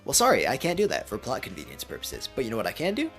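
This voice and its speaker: A man voicing a brash, crude, wisecracking male mercenary who jokes loudly, over faint music. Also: medium pitch, wildly swooping pitch, very fast, pitched above the performer's own voice. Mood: jokey. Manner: loud, crude, jokey.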